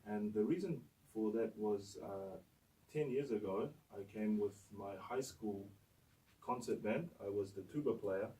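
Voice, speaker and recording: A man with a New Zealand accent speaks in a low, mellow voice as an interview guest. He is conversational and halting, switching between languages, and sits a little away from the microphone. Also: far from the mic, poor audio. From Samoa and New Zealand.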